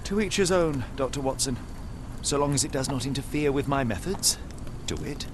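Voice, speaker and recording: An actor with an English accent playing a coolly rational, haughty Victorian detective in a video game, with formal, precise, condescending delivery. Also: male voice, medium pitch, lively intonation, moderate pace, background noise, natural voice. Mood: dry, dismissive. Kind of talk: video game cutscene acting.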